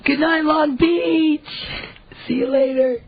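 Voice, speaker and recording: A young woman co-hosting a radio show, with a deep, bassy voice and a playful, teasing manner. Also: fairly even intonation, highs cut off. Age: young adult. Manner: playful, quick to tease back. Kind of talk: casual chat. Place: small radio studio. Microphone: studio mic.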